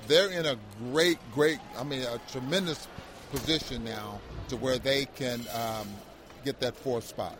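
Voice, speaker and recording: A man giving conversational post-game analysis as a sports radio co-host, halting and repeating words as he agrees and expands. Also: medium pitch, background noise.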